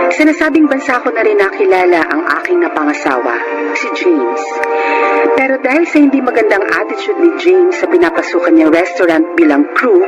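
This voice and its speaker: An educated overseas Filipino paralegal narrates a life story for radio drama. The voice is high and animated, with loud music behind it. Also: male voice, poor audio. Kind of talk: scripted first-person radio drama narration.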